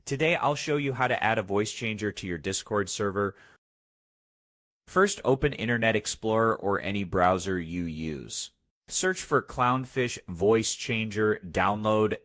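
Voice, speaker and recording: A man narrating a scripted step-by-step software tutorial as its host. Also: fairly low pitch, expressive, measured pace, no room sound, studio quality.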